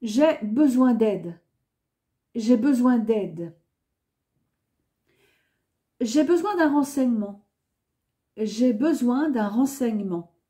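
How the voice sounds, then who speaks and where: Slow, high-voiced woman teaching French. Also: lively intonation, warm tone. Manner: clear, careful enunciation with each phrase said twice. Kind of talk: beginner language lesson, repeating phrases slowly.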